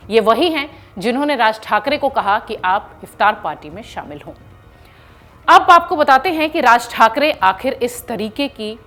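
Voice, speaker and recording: A woman's voice: on the high side, fairly bright tone. A woman news presenter narrating an explainer in an emphatic, explanatory manner, like a reporter walking viewers through a story.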